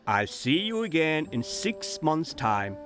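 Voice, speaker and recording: A cheerful dentist speaks briskly and encouragingly, as a male narrator plays the part. His voice sits a little higher than a plain talking voice. He sounds like a friendly figure from a storybook. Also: big pitch swings, music in the background.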